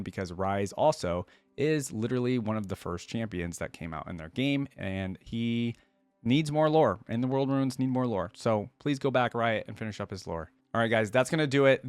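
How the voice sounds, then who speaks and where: A man's voice: lowish voice, smooth, no room sound. A man giving casual commentary to viewers as a reaction host, thinking aloud and wandering off on digressions. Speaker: video creator, reaction host.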